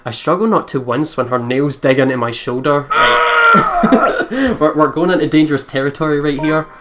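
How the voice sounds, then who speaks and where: A woman's voice: low voice, wildly swooping pitch, moderate pace, heavy background noise. A woman hosting a let's play, commenting casually and reactively, with some swearing. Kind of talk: casual gaming commentary with read-aloud narration.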